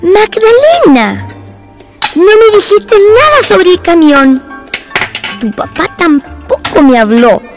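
Chilean radio-drama mum: calm, practical, very high voice, unhurried, music underneath. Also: female voice, exaggerated voice. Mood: puzzled, calm. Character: calm, practical Chilean mother of a teenage girl.

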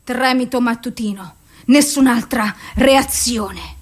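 Radio drama: female clinical reporter, very high voice, clipped theatrical recital of symptoms and doses. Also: slow pace, breathy edge. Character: clinical female voice reciting a psychiatric case file.

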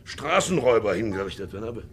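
A hard old ship captain, gravelly, mutters darkly then barks, big swings in pitch, old radio play. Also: male voice, very animated, quick pace. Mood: bitter, self-justifying. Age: older. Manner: brooding, swinging from muttering to harsh barking. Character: hard, brooding ageing ship's captain, self-justifying and haunted. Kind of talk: 1950s German radio drama acting. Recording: 1950s radio recording, faint music.

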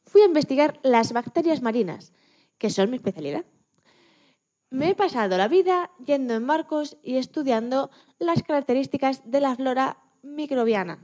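Woman playing a high-voiced, chatty Spanish scientist. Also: normal speed. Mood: earnest. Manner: expressive, chatty, self-correcting. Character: chatty, proud, enthusiastic woman Antarctic scientist. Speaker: interview guest.

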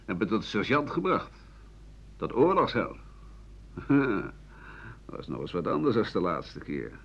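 An actor playing an embittered aging French doctor and war veteran, rambling sardonically through his memories in a radio drama, his voice husky and rough-edged. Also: mid-range voice. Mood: proud, wry. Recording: dull 1980 radio play recording.

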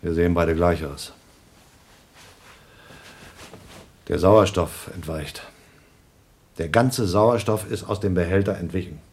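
A man's voice: low voice, moderate pace, darkish tone, slightly rough. A man playing an agitated, sarcastic crewman stranded on a moon station, in an exasperated radio play performance. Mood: grim. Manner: exclamatory, biting, exasperated. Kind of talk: German radio play acting.

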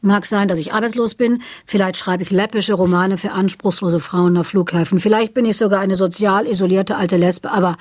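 A woman's voice: medium pitch, fairly even intonation, fairly quick, hardly any pauses, a little mellow, dry recording, clean studio sound, close to an everyday voice. A woman playing a flippant, world-weary writer of light novels, chatting wryly in a satirical radio dialogue. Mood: sardonic, musing. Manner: wry, casually chatty.

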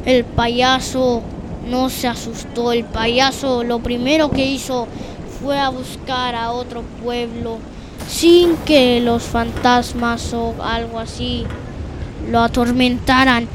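High-pitched female storyteller, slow and halting. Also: little pitch movement, background noise. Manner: halting, repeats and corrects phrases as improvising. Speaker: student storyteller in a radio theatre workshop. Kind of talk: improvised spoken horror story narration. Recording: loud music under the voice. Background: loud music.

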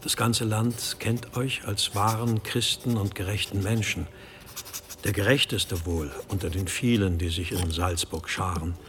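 An actor playing a pious abbot with formal, archaic, solemn speech in a radio drama. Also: male voice, on the low side, steady tone, measured pace, a bit bright, a little hiss or hum. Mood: solemn pleading. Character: pious, pleading abbot of a mountain monastery, impatient with his brothers.

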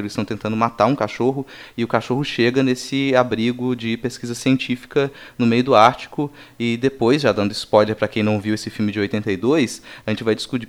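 A Brazilian man hosting a film discussion, explaining his points in an orderly, conversational way and restating them to clarify. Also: mid-range voice. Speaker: podcast host.

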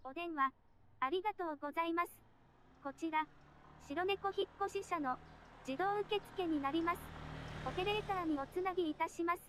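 A woman's voice: very high-pitched, normal speed, pauses often, a bit bright, a little hiss or hum. A woman voicing a polite automated telephone receptionist, reading a formal scripted announcement. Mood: formal, neutral.

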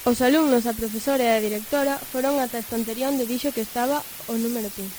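A woman's voice: fairly high pitch, steady tone, fast talker, darkish tone. A young woman reading scripted storytelling narration for a school radio play. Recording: noisy, hissy amateur recording.

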